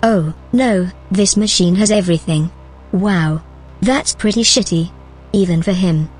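A woman playing a calm, helpful, gently teasing artificial intelligence in a naturalistic audio drama. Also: lowish voice, measured pace, slightly rough, faint music behind, low-quality recording. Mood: puzzled. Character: calm, obliging, playful female artificial intelligence.

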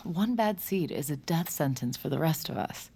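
A woman's voice: low-pitched, expressive, moderate pace, vocal fry, a little mellow, husky, heavily acted. An actress playing a guarded, nervy woman with a secret, in hesitant, understated audio drama style. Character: guarded, nervy adult woman, secret mind reader, wry. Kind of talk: audio drama acting, intimate narration and dialogue.

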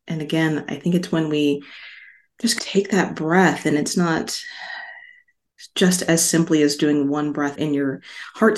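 A middle-aged woman from Hawaii, a podcast guest speaking in a calm, soft, unhurried way. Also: low voice. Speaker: podcast guest, stress relief author. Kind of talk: calm interview talk.

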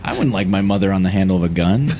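A man's voice: deep voice, lively intonation, rapid-fire, noisy background. A man bantering casually and jokingly in a group at a live convention recording. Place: convention room with live audience.

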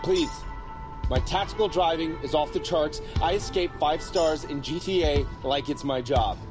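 A man's voice: a little high, normal speed, over music, lightly acted. An actor playing a sarcastic, boastful young man on a stakeout in a comedic audio drama. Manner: sarcastic, bragging, easily irritated.

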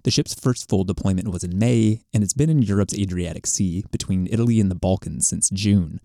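A man's voice: low-pitched, fairly quick, vocal fry, warm tone, no echo, studio quality. A man with an American accent reading a scripted radio news report.

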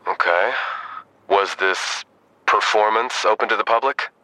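An actor playing a weary, procedural police sergeant, measured and matter-of-fact, in an audio drama. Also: male voice, fairly low pitch, bright, forceful tone, no echo, poor audio. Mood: businesslike. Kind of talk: audio drama acting, phone dialogue.

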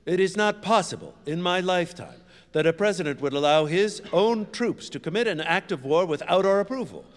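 Pompous, animated senator. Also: male voice, sits high, a little fast, long reverb tail. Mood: outraged oratory. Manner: oratorical, needling, pompous. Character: smug, scheming senator, mocking political rival. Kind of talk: audio fiction acting.

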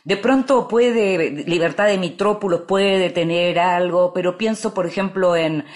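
A woman with a Rioplatense Spanish accent, interviewing in a conversational, halting way as she thinks aloud. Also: on the low side.

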